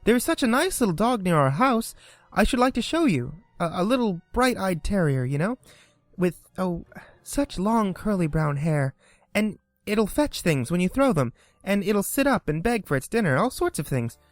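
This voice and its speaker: Reader putting on an eager little girl, polite, flustered, stammery, pitch all over the place. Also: lively intonation, moderate pace, no echo, soft background music, natural voice. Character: polite, chatty young girl.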